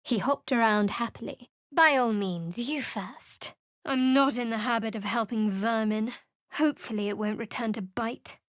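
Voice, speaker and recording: Actress as a wary young cleric, dry and cutting, fairly high voice, close dead recording. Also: female voice, normal speed, no echo, close to an everyday voice. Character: guarded sardonic young woman cleric adventurer. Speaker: game character. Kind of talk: studio game voice acting and narration.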